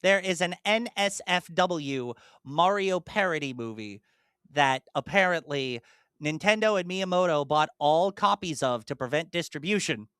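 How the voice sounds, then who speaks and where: A young man co-hosts a casual podcast, talking eagerly and circling back through his thoughts. His voice is bright and crisp, a little higher than most men's. The sound is close and dry. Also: lively intonation, unhurried, no room sound. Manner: enthusiastic, rambling with restarts. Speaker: podcast co-host. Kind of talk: casual chat.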